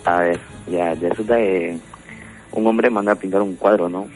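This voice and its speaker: A man's voice: low-pitched, fairly even intonation, moderate pace, darkish tone, soft background music, poor audio. A man calling in to a radio show, telling a joke in a laid-back way. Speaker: caller.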